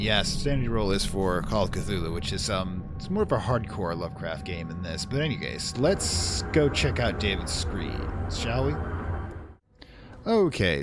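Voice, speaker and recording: A man hosting a let's play, casually commenting in a wry, rambling way and reading in-game text aloud theatrically. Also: deep voice.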